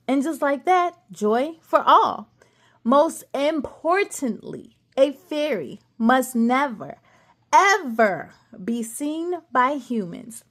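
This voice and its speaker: A stern, regal fairy queen played by a woman reading aloud hands down judgment in a formal, commanding voice. The voice sits high and lingers over each word, swinging widely in pitch. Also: exaggerated voice. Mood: solemn.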